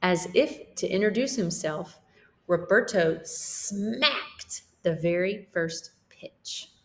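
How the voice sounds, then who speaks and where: Slow-paced woman teacher reading aloud. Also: lowish voice, expressive, fairly bright tone. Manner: explains simply, checking in with listeners. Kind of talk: storybook read-aloud with simple explanations for children.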